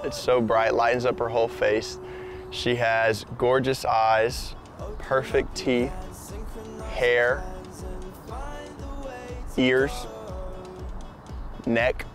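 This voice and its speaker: A young adult man casually telling his own love story in a personal, conversational style. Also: mid-range voice.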